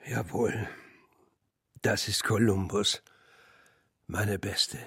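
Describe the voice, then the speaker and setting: An actor playing a fond, proud old pigeon keeper with a husky voice in a children's radio play. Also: male voice, lowish voice, extremely slow, sharp tone, no room sound, studio quality, heavily acted.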